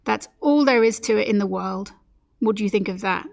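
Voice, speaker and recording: A woman's voice: mid-range voice, a little fast, gravelly, darkish tone. A woman reading a story aloud at a literary event, narrating and speaking the dialogue. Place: café at a live-streamed reading event.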